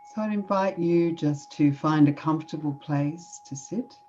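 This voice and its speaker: A woman with an Australian accent, speaking from a video call as a guest speaker and leading a gentle, soothing guided meditation. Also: low-pitched, measured pace, muffled recording, slight background noise.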